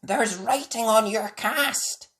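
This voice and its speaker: A storyteller voicing an eager, friendly young puppy from a children's book, in a drawn-out childlike way. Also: medium pitch, a bit bright, pitched above the performer's own voice. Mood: excited.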